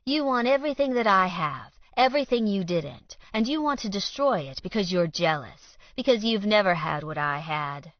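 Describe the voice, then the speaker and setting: A woman voicing a smug, spiteful man who needles his rival in loud, quick taunts. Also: on the low side, lively intonation, no echo, close to an everyday voice. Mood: sneering. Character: sneering jealous male councilman, smug and spiteful. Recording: dull lo-fi dry read.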